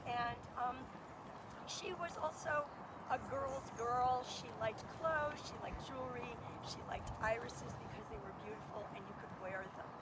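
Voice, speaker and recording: A woman giving an informal memorial tribute, speaking haltingly with pauses. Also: a little high. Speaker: club member giving a tribute. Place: outdoors in a garden. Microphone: distant camera mic, no working PA. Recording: very noisy outdoor recording, distant mic.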